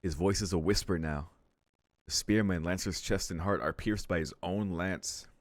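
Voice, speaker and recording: A man's voice: low voice, moderate pace, dry recording, studio quality. A man reading a visual novel aloud as the host of a let's play.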